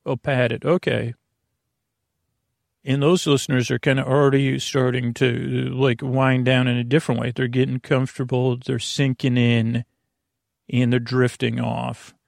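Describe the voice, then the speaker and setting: A man hosting a bedtime podcast in a rambling, halting monologue, circling back and losing his thread, with a creaky, gravelly voice. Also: medium pitch, little pitch movement, a little mellow, no room sound, clean studio sound. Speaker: podcast host. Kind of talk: rambling bedtime monologue to help listeners sleep.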